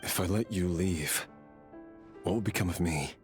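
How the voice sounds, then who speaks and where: Guy doing a grave weary game warrior, really low voice, music going behind him. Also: moderate pace, natural voice. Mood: grim, guarded. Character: brooding, weary young male soldier torn between duty and mercy. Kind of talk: dramatic video game acting.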